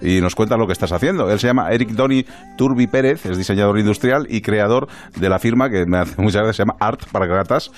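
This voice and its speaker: A man's voice: lowish voice, fast. A man with an accent from Spain hosting a radio interview in a chatty, anecdotal, conversational way. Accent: Spanish, from Spain. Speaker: radio show host.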